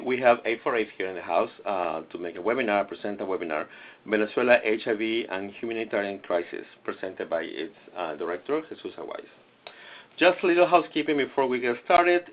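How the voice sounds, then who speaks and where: A man hosting and introducing a webinar, stumbling a little with fillers. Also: lowish voice. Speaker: webinar host. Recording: lo-fi webinar audio.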